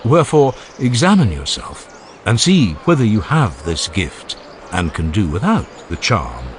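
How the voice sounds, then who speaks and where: A man narrating a dramatized classical dialogue in a formal, measured and expressive oratorical manner. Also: fairly low pitch, slight background noise. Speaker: audiobook narrator. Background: ambient sound effects.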